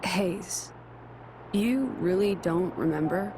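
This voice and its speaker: Pensive, guarded young heroine. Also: female voice, fairly low pitch, slow pace, noisy background. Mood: guarded, subdued. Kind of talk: video game cutscene acting.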